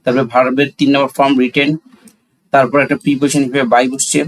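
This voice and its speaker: Male tutor, Bangladeshi accent, mid-pitched voice; instructional delivery, walks learners through each step with self-answered questions. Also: flat delivery, fast talker, low-quality recording. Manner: instructional, asks and answers own questions, switches between Bengali and English. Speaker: English grammar teacher. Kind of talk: classroom-style lesson, explaining step by step.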